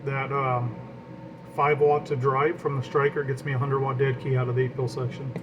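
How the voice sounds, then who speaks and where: Male hobbyist, average-pitched, informal explainer who mutters through each step; noticeable equipment hum and fan noise in the recording. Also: moderate pace. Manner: talks to himself while working, explanatory. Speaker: radio hobbyist demonstrating equipment. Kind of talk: informal hands-on demonstration. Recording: clear steady background equipment noise.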